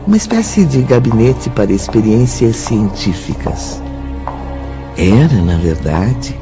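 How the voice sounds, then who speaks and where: A Brazilian man narrating a scripted spiritual radio drama in a solemn manner. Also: medium pitch. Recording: dull audio under prominent music.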